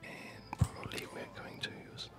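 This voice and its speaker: Someone whispering breathy ASMR commentary while playing a video game, musing aloud in short, halting phrases. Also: over music. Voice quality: breathy, mostly unvoiced whisper. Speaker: gamer narrating own gameplay.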